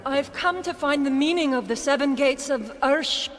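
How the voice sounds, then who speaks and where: A woman's voice: high-pitched, moderate pace, a little hiss or hum, lightly acted. An actress playing a courteous, composed young woman traveler in a radio drama. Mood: earnest. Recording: long hall reverb, music.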